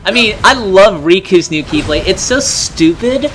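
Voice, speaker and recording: A man's voice: high-pitched, lively intonation, measured pace, a bit bright, soft background music. A man doing casual video game co-commentary, joking, blunt and sardonic. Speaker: let's play commentator.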